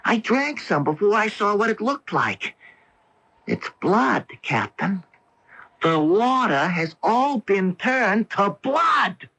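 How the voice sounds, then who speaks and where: A shaken soldier in an old radio thriller. His high voice swings widely and draws out each horrified phrase. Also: very animated, slow pace, pushed and bright, slightly rough, heavily acted, rough recording. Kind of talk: radio drama acting. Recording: dated radio drama broadcast.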